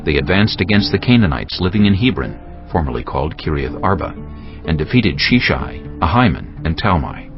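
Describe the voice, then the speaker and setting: A man narrating scripture from a script in a measured, solemn storytelling manner. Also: deep voice, sing-song. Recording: dull lo-fi, music behind. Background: music.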